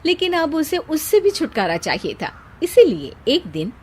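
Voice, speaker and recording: A woman's voice: high-pitched, very animated, measured pace, a little mellow. A woman narrating a scripted moral story as a storyteller.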